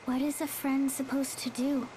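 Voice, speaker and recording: Woman voicing innocent anime game girl, high flat voice, kinda puzzled and childlike. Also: normal speed, noisy background, natural voice. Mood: innocent, unsure. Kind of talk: English-dubbed anime game cutscene acting.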